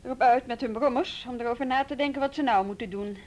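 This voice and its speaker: A woman's voice: fairly high pitch, quick pace, darkish tone, close to an everyday voice. A woman acting a firm, patient, businesslike juvenile police detective in a naturalistic radio drama.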